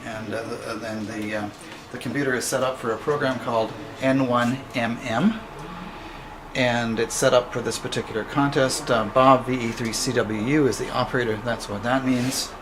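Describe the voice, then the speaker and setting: A man explains equipment informally, like a guide on a walkthrough. His delivery is halting and matter-of-fact, at an average pitch. He sounds distant in an echoey room, with clear background noise. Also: steady tone. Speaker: radio club member giving a station tour. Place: indoor amateur radio station room. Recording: roomy, noisy recording, distant microphone.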